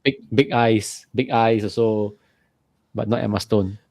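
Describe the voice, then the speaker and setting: Jokey, animated podcast co-host man. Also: lowish voice, unhurried, gravelly, no room sound. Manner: animated, repeats words, jokey. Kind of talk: casual chat.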